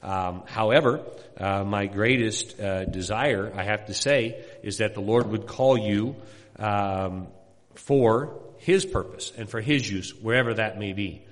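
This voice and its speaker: A middle-aged man from Indiana, a missionary pastor, introducing himself to a congregation in a folksy, rambling way with many pauses. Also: on the low side, long reverb tail. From Indiana, USA.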